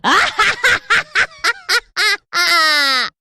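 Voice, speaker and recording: A woman's voice: very high voice, big pitch swings, drawn-out words, dry recording, voice pushed up, full character voice. A woman voicing an evil witch who gloats with menace over her prey in a horror story. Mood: menacing glee. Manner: menacing, gloating, laughs loudly.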